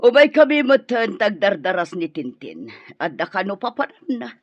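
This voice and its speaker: An actress playing a brisk, bossy Filipino mother of the household in an Ilocano radio drama. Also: mid-range voice, big pitch swings, no echo, lo-fi sound.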